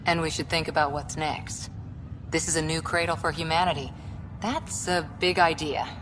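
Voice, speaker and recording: A woman's voice: sits low, steady tone, moderate pace, background noise, lightly acted. A woman playing a thoughtful, supportive space expedition officer in a video game cutscene. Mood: reflective. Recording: music behind the voice.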